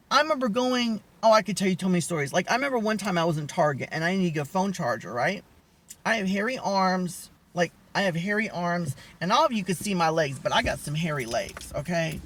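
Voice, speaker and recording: A young man with a high voice, telling personal stories in a rambling, candid way, often losing his thread. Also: animated, fairly quick, a bit bright. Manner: rambling, candid, lots of restarts and asides. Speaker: vlogger. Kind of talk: casual storytelling to camera.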